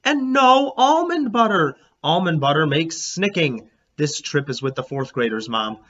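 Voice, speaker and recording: Man voicing an emphatic, bright, bossy young girl. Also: on the high side, sing-song, a little slow, pitched above the performer's own voice, full character voice. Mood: insistent. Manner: emphatic, insistent. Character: bossy, earnest, exuberant third-grade girl. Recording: dull lo-fi, background noise.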